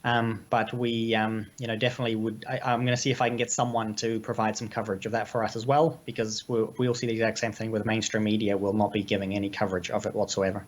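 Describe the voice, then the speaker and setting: A man co-hosting a political talk stream, slightly hoarse from illness and speaking in a halting way with many hesitations. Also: fairly low pitch, flat delivery. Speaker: co-host of a political livestream.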